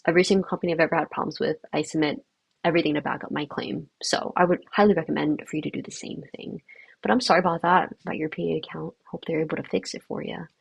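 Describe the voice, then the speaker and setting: A young woman who grew up speaking Vietnamese chats casually with her livestream viewers. Her voice is low-pitched, gravelly and husky, recorded dry and close. She talks in an upbeat way with lots of fillers. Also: no echo, low-quality recording. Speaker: livestream host.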